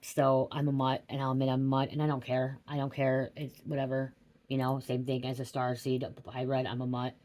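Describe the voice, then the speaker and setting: A woman's voice: very deep voice, monotone, fairly quick, a little mellow. Rambling, profane woman tarot reader. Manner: rambling, swears often, keeps asking "okay?". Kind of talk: rambling casual livestream reading.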